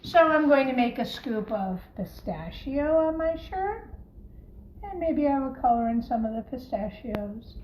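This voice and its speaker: Echoey, higher-voiced woman teaching children. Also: lively intonation, a little slow, dull audio. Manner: gentle, encouraging, simple address to young children. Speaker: primary school teacher leading a craft. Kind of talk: children's craft tutorial, talking while demonstrating. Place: home kitchen, by the refrigerator. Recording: echoey room with faint background noise.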